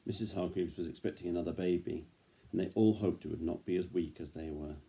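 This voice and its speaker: A man with a very deep, dark and mellow voice reads a picture book to a child. His delivery is gentle and engaging. He often pauses the story to put simple questions to the young listener.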